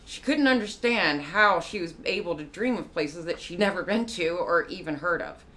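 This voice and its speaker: A woman with a low voice narrates a short story for a live reading. Her delivery is measured and careful, with the odd slip that she corrects. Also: fairly bright tone. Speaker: guest narrator, musician and artist.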